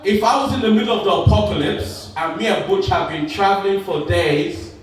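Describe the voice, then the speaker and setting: A man's voice: high-pitched, brisk. A man performing a stand-up comedy set at a live venue, bantering playfully with the crowd. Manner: playful crowd work, animated delivery. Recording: roomy live venue, distant mic, crowd.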